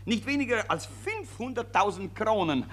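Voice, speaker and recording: A gullible little Viennese citizen in an old radio satire. He asks eagerly and chattily, his highish voice rising and falling in lively swings. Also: moderate pace. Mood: credulous, chatty. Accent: Austrian, Viennese. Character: credulous, eager newspaper-reading Viennese citizen, puzzled but gullible. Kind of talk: 1940s German radio play acting. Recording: old 1940s radio recording.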